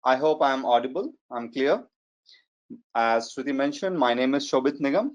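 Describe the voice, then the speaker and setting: A man in his forties with a strong Indian accent, giving an online lecture in a measured, hesitant way with fillers. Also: mid-range voice, expressive. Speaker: data science trainer. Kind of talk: webinar lecture. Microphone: computer mic on a video call. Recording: slightly muffled online webinar audio.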